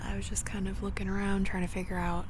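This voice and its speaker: A woman chatting casually in an ASMR style, soft-spoken, musing and informal, as she hosts an outdoor vlog. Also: mid-range voice, level tone, fast talker. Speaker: vlog and podcast host. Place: outdoors in a cemetery. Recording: noisy outdoor ambience with vehicle sounds.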